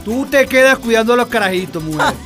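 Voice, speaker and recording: An actor playing a domineering, exasperated guerrilla husband in a radio drama, dismissive and colloquial, with a Venezuelan accent. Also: male voice, very high-pitched, expressive, fast talker, a bit bright, soft background music.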